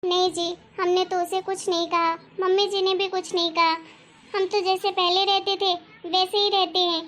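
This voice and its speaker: A woman acts out a fretful young housewife from a cartoon family story. Her voice is extremely high and bright, with little melodic swing. She sounds plaintive and complaining, over faint background noise. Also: little pitch movement, normal speed. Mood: worried, upset. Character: worried, soft-hearted young housewife, mother and elder sister-in-law. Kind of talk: cartoon family drama voice acting.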